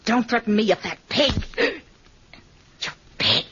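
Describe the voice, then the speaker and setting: An actress in an old radio horror drama playing a young, scheming, hot-tempered tavern woman with a sharp-tongued, dramatic delivery. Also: on the high side, lively intonation, slow, highs cut off, heavy background noise. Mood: furious. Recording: band-limited vintage radio recording, crowd behind.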